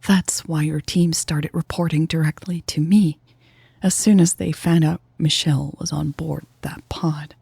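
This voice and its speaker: A woman reader voicing a guilty, tearful woman who pleads with her lover softly and hesitantly in a romantic audio story. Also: low voice, steady tone, a little slow, no echo, heavily acted. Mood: soft, pleading. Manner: soft, hesitant, breathy confessing. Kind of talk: audio fiction dialogue reading.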